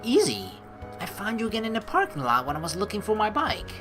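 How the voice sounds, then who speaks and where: Female let's play streamer, lively pitch swings, casual playful reading and reacting over faint music. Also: fast talker. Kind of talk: gaming commentary mixed with reading game narration aloud. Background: game music.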